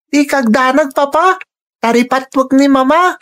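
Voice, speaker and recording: An actress playing a sweet, dutiful child in an Ilocano radio drama, speaking eagerly and reassuringly with an Ilocano accent. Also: female voice, high-pitched, unhurried, fairly bright tone, no room sound. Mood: eager affection.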